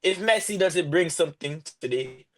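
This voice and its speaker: A young man co-hosting a podcast, making emphatic, excited football claims in casual slang. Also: a little high, moderate pace. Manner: emphatic, peppers every line with "bro". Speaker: podcast co-host.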